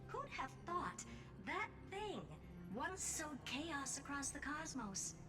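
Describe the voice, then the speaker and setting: A woman playing a confident, playful genius scientist in a video game, breezy and self-satisfied. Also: sits high. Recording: music behind, slightly distant.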